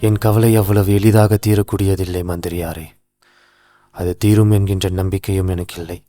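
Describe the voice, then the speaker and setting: Man acting a deep-voiced sorrowful old king. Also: steady tone, moderate pace, warm tone, faint music behind, close to an everyday voice. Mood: resigned, sorrowful. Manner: formal, literary, regal phrasing. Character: grieving, ailing old king, resigned yet emotional. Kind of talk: animated folktale acting.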